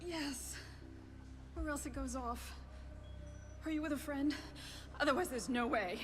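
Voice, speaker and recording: A woman's voice: high voice, measured pace, faint music behind. A woman playing an anxious technical expert in a video game, recorded with long reverberation. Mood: tense, warning. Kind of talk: video game dramatic acting. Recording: long echoing hall reverb.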